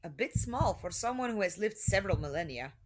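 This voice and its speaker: A woman's voice: mid-range voice, lively intonation, fairly quick, roomy sound, lightly acted. A woman in an audio drama playing a spirited, inquisitive young travelling companion, with an exclaiming, questioning delivery. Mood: probing.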